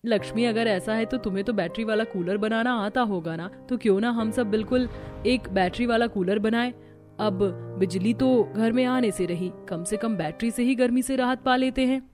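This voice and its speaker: Family cartoon role, played by a woman: earnest, practical homemaker, fairly high pitch, faint music underneath. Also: normal speed, darkish tone, close to an everyday voice. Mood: thoughtful. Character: poor, practical mother-in-law and resourceful daughter-in-law. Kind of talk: Hindi family cartoon acting.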